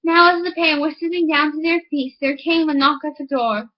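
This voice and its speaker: A woman's voice: sits high, normal speed, lo-fi sound. A woman reading a fairy tale aloud as scripted audiobook narration. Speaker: audiobook reader.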